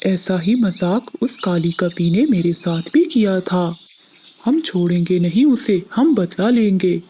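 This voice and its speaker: A woman voicing an earnest adult male bird in a children's cartoon, speaking deliberately. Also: on the low side, animated, background noise. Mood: aggrieved. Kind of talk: Hindi children's cartoon voice acting.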